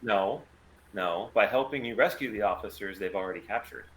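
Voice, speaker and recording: A man playing a calm, defiant rogue pilot with a plan in a sci-fi table read. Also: lowish voice, brisk, natural voice, rough recording. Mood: cool, assured.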